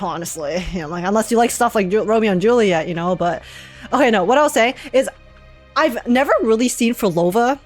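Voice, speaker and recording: A young woman giving casual game reaction commentary, slangy and rambling, often acting out what others might say. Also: mid-range voice. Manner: rambling, animated quoting, slangy. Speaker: game streamer reacting to a story. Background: faint game music.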